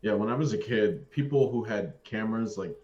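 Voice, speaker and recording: Male, middle-aged, relaxed low-pitched casual chatter, brief replies, roomy and slightly dull audio. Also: fairly quick. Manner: laid-back, brief replies. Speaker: livestream co-host. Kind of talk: casual chat. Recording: muffled, echoey room.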